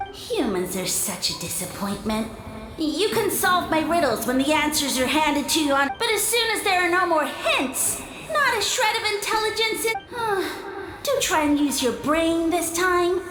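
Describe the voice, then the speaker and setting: A woman's voice: high-pitched, roomy sound, noisy background. A woman voicing a haughty, disdainful mushroom sage spirit in a video game, condescending and theatrical. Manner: condescending, theatrical, slow and imperious.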